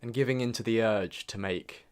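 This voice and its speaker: A man's voice: lowish voice, moderate pace. A young adult man reading a scripted, personal look back as a voice-over. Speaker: video narrator. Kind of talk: scripted retrospective voice-over narration. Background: music under the voice.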